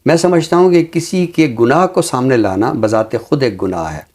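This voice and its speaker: A man speaking in a measured, deliberate and earnest way, explaining his views as a talk show commentator with a literary vocabulary. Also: mid-range voice, animated, darkish tone.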